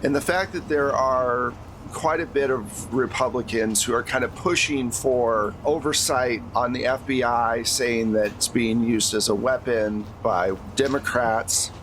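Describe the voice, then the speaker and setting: A man's voice: mid-range voice, unhurried, fairly bright tone, a little hiss or hum, rough recording. An American man acting as game master, talking casually and conversationally as he narrates a tabletop role-playing game. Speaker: game master of an actual-play podcast.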